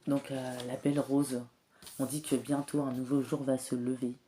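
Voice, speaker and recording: Soft-spoken woman with a cold, monotone. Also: a bass voice, slight background noise. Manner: soft, hesitant, many filler pauses. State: has a cold, clears throat often. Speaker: tarot and oracle card reader. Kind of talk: spiritual guidance reading.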